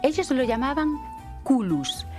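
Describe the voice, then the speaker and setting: A woman's voice: sits high, very animated, unhurried, a little mellow, faint music behind. A woman from delivering scripted podcast narration in a mock-serious, theatrical manner.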